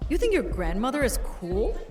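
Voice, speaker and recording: An actress playing a cool, protective aunt with a wry, casual, irreverent manner in an audio comedy. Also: female voice, a little high, very animated, moderate pace, far from the mic, music in the background.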